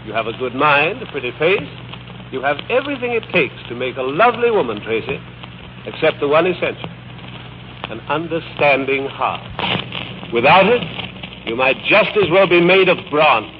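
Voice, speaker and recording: A man's voice: fairly high pitch, very animated, moderate pace. An actor playing a haughty, philandering older father in 1940s radio drama, pompous, dry and formal, coolly cutting toward his daughter. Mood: cold reproach. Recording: noisy, dull old 1940s radio recording.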